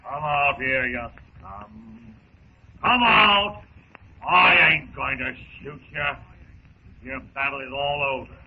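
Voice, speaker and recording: Brutal, high-pitched pirate captain. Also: male voice, slow. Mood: menacing, gloating. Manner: menacing, rough uneducated slangy speech. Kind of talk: 1930s radio serial acting. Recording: scratchy, dull 1930s radio recording.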